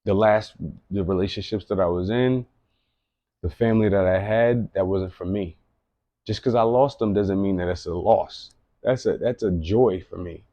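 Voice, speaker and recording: A man's voice: deep voice, moderate pace, dark tone, no room sound, highs cut off. A man in his late thirties giving an earnest, rambling personal monologue, often repeating himself. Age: late 30s. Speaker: vlogger.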